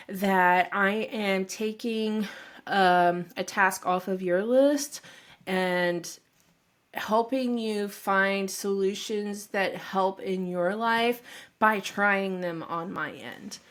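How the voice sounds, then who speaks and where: Middle-aged woman, unhurried drawn-out pace, slightly stuffy from a cold, shy rambling vlog talk with lots of fillers, home office mic. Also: mid-range voice, fairly bright tone. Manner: rambling and self-deprecating, with many fillers; shy and nervous. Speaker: vlogger introducing her channel. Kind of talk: casual talk to camera. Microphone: own microphone.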